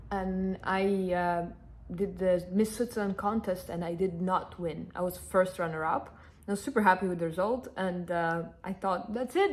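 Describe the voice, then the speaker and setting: A woman in her thirties from Northern Italy, a native Italian speaker, chatting to camera in an animated, emphatic way, answering followers' questions. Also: medium pitch. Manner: animated, emphatic, repeats herself. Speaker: content creator. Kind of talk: casual Q&A chat to camera.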